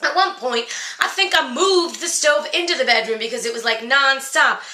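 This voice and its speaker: Lady doing a fed up princess for comedy, sassy n crude, sharp bright voice, echoey room. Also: high-pitched, normal speed. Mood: exasperated, griping. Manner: sassy, gossipy, crude, chatty asides to the ladies. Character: jaded, brash, vain fairy-tale Cinderella, married woman. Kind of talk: comedic mock interview character monologue. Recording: roomy, echoey sound.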